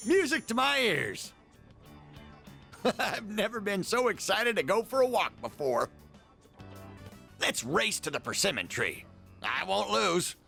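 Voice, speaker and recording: A man's voice: high-pitched, gravelly, pushed and bright, exaggerated voice. An actor voicing a spirited old shopkeeper and proud father in a video game. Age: older.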